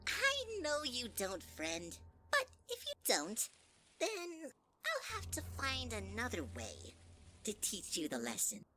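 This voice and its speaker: An actor playing a manipulative, sinister flower creature, with a sweet and mocking singsong delivery in a fan audio drama. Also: male voice, very high-pitched, wildly swooping pitch, takes it slowly, pushed and bright, faint music behind, full character voice. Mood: menacing.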